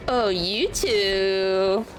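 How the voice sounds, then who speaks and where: A woman giving casual commentary as she plays a game. Her voice sits in a middle register, muttering slangy reactions, with soft music in the background. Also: mid-range voice, fairly even intonation, extremely slow. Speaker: gaming streamer.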